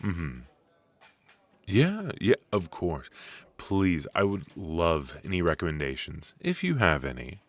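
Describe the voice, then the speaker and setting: Deep-voiced, rambling, flirty coffee date played by a man. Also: wildly swooping pitch, exaggerated voice. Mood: warm, curious.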